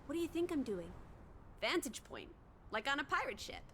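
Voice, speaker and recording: A woman's voice: high voice, sing-song, normal speed, a bit bright, a little hiss or hum. An actress playing a playful young 1920s American woman in a video game, teasing in jaunty old-fashioned slang. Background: music.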